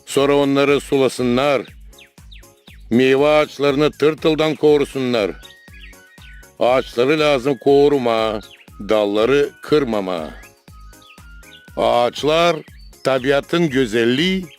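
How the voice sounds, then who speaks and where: A man's voice: mid-range voice, takes it slowly, pauses often, clear, resonant tone, faint music behind, natural voice. A man with a Gagauz accent playing a kindly, instructive grandfather in a children's cartoon. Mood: patient, instructive.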